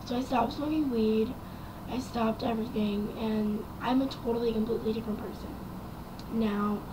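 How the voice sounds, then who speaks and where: A young woman speaks to camera in a raspy, quiet voice, a little higher than most. She rambles through her story with frequent ums and likes. The home recording carries clear background noise. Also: fairly even intonation, normal speed. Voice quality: raspy and quiet from vocal cord damage. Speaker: vlogger. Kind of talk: casual personal story to camera.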